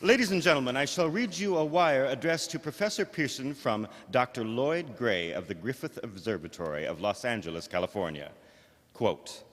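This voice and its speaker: Old-time radio reporter man, formal and earnest, lively swings in pitch, big hall reverb. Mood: formal, announcing. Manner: formal, measured, theatrical announcer delivery. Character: earnest, probing male radio news reporter interviewing live.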